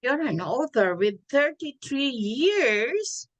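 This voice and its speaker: A woman hosting a community talk show interview, halting with many fillers. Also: high voice, big pitch swings. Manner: halting, many ums and uhs, chatty with laughs. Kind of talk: casual interview.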